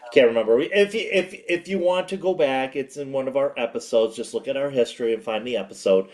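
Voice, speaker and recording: A man with a big, projecting voice co-hosting a casual sports recap, halting and repeating his phrases as he goes. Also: mid-range voice. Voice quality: loud, projecting voice. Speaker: sports show co-host.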